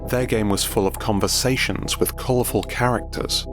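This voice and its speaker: A man narrates a scripted documentary in a low-pitched voice. Music plays clearly behind him as he tells the story. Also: fairly even intonation, moderate pace.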